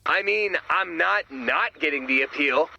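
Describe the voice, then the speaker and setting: An actor playing an excitable, self-justifying goofy man in a video game, with a whiny, dramatic manner. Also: a little high, unhurried, creaky, full character voice, rough recording. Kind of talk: scripted video game voice acting.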